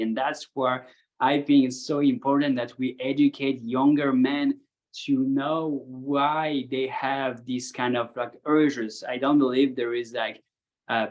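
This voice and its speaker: A man's voice: mid-range voice, dull audio. A man from Belgium, a hypnotherapist, speaking earnestly and steadily in a round-table discussion.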